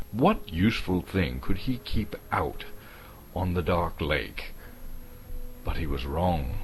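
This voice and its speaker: A man's voice: sits low, slight background noise. A man from Canada gives a dramatic narration of a storybook, theatrical and suspenseful, lingering on key words. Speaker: actor reading as narrator.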